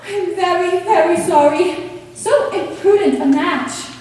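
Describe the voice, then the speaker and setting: Woman as very high-voiced worried sister, echoey. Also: unhurried, a little mellow. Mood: grave. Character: gentle, worried young sister reading her letter. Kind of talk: amateur stage play acting. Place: theatre stage, recorded from a distance. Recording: echoey hall, distant mic, faint noise.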